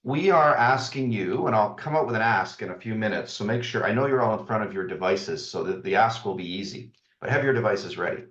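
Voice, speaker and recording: A man's voice: lowish voice, lively intonation, a little fast, few pauses, fairly bright tone. A man, the CEO, giving an informal welcome address with frequent fillers and restarts. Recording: roomy, dull lo-fi sound.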